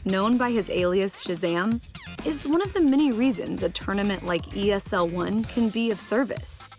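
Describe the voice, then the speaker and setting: A woman's voice: mid-range voice, animated, normal speed. A woman reading scripted documentary narration in the role of narrator. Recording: music behind the voice.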